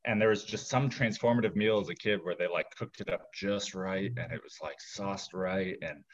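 A man with a fairly low voice, a podcast guest telling stories in a loose, rambling way with plenty of filler words. The sound is dull and lo-fi, as if the highs were cut off. His talk is relaxed and conversational. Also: moderate pace. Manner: rambling, filler-heavy anecdotes. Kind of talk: casual podcast chat.

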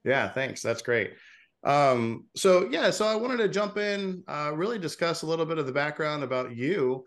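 A man's voice: on the high side, lively intonation, normal speed. A man in his forties hosting a casual interview podcast, friendly and chatty, laughing easily. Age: 40s. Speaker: podcast host.

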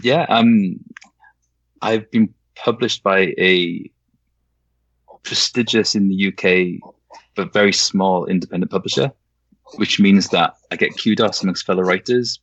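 A man with a Welsh accent softened toward English, talking casually as a novelist guest, hesitant with many fillers and restarts. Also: low voice, steady tone, moderate pace, dry recording, dull audio. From Wales. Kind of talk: casual conversation.

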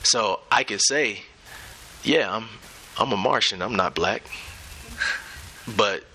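A middle-aged man speaking as a guest in a live onstage conversation, measured and thoughtful with light laughs. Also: on the low side, pushed and bright, slight background noise. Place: event center stage.

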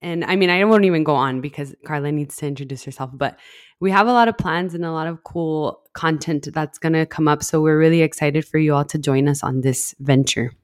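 A woman's voice: sits low, fairly quick. A young woman from El Paso, co-hosting a podcast in earnest, friendly casual chat. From El Paso, Texas. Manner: friendly, earnest, repeats words. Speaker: podcast co-host.

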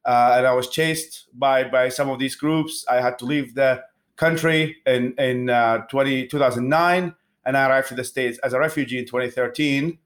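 A man from Iraq in his thirties, a guest answering interview questions in an earnest, halting way with many restarts. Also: medium pitch, fairly even intonation.

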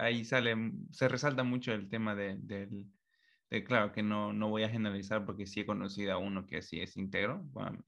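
Low gravelly-voiced male host. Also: a bit bright, highs cut off. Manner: frequent backchannels, rambling. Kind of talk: casual conversation.

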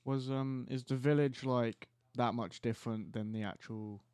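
A teenage man co-hosting a podcast, asking curious questions in casual chat, sometimes rushing his words. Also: medium pitch, fairly even intonation, a little mellow, no room sound, studio quality. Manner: curious, asks questions, quick rushed phrasing. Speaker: podcast co-host.

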